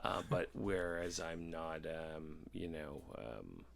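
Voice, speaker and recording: Man, thirties, low-pitched, gravelly fry, halting and rambling, candid, casual podcast conversation. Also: lively intonation, crowd noise. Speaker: podcast host. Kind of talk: casual podcast chat about personal experience.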